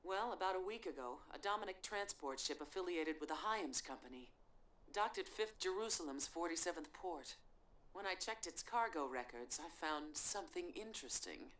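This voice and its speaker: Cool female investigator played by a woman, lowish voice with lively inflection, blunt and wry, game cutscene delivery. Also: close to an everyday voice. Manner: matter-of-fact, wry. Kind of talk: English-dubbed video game acting.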